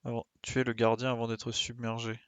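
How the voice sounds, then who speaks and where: A man's voice: medium pitch, monotone, brisk, fairly bright tone, clean tone, no room sound. A man doing casual, colloquial gaming commentary, thinking aloud and muttering to himself as he plays. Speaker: streamer playing a video game.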